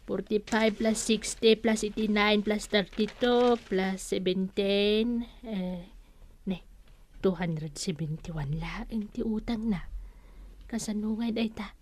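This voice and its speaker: A woman's voice: medium pitch, slow pace. A woman playing a sly village storekeeper in a comedic radio drama, with a Northern Philippine accent and a sheepish, muttering way of talking. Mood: muttering, calculating. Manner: mutters sums to herself, chuckles sheepishly. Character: sly village storekeeper councilwoman who pads customers' debts.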